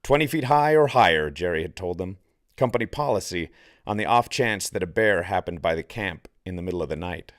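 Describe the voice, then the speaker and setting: A man narrates a novel in a low register. His delivery is measured and deliberate, with dramatic pauses. The sound is dry and close, like an audiobook. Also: dry recording. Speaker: author reading own novel.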